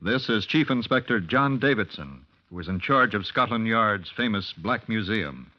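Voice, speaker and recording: A man's voice: on the low side, expressive, moderate pace. A man announcing a 1950s radio program in a formal, scripted style. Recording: dull lo-fi old radio recording.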